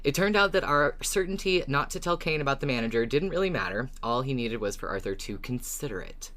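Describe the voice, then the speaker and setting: A podcast co-host with an expressive, wry way of talking through recaps and casual discussion. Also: mid-range voice, a little fast.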